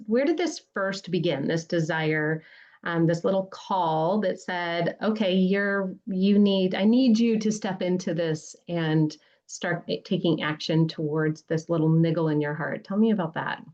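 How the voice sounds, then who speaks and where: A woman hosting a podcast interview, speaking with effusive praise for her guest. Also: fairly low pitch. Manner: warm, effusive praise, faith-centered. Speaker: podcast host. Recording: dull lo-fi sound.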